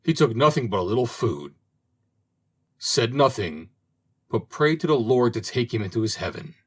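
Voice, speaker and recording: A man reading an old fairy tale aloud as an audiobook narrator, in a slow, expressive manner. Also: medium pitch, breathy edge. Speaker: audiobook reader. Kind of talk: storybook narration.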